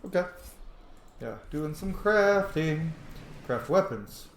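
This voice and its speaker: A man's voice: fairly high pitch, expressive, slow, creaky. Man thinking aloud in a roomy space. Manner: thinks aloud, mutters choices to self. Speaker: video game streamer. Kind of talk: casual let's-play commentary. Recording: roomy echo, faint background noise.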